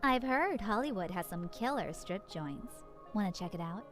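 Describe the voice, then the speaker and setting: A woman's voice: mid-range voice, animated, moderate pace, a bit bright, soft background music, lightly acted. An actress voicing a brash, streetwise vampire woman in a video game, with a blunt, playful swagger. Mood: flirty, confident.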